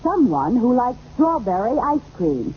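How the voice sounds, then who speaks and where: An American actress playing a vain, playful, wisecracking suburban wife in an old radio comedy. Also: female voice, on the high side, very animated, a little slow, breathy edge, heavily acted. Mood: wry. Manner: theatrical, wisecracking. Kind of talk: 1940s-50s radio comedy acting. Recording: muffled, noisy old radio recording.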